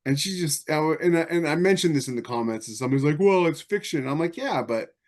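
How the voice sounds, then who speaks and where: A man talking casually as a podcast panelist, telling anecdotes and giving opinions in a halting way. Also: fairly high pitch, expressive, darkish tone. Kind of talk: casual discussion.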